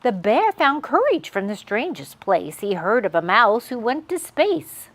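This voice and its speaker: A woman reads a storybook aloud for children. Her voice sits a little high and moves animatedly up and down. She speaks expressively, as a host talking straight to young viewers. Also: very animated, unhurried, a bit bright. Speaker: host reading a children's picture book aloud.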